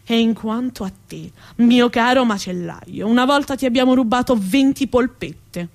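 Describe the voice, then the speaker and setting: A woman's voice: fairly high pitch, moderate pace, lightly acted. A woman performing a comic theatrical monologue as an indignant, sarcastic, foul-mouthed female rat who sees herself as a proper lady. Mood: furious, scolding. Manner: ranting, sarcastic, emphatic.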